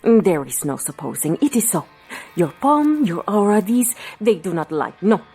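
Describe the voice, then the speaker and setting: A woman playing a commanding, mystical palm reader in an audio drama, coaxing with formal phrases and dramatic, hushed pauses. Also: mid-range voice, very animated, breathy edge. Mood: insistent. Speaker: fortune teller. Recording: music under the voice.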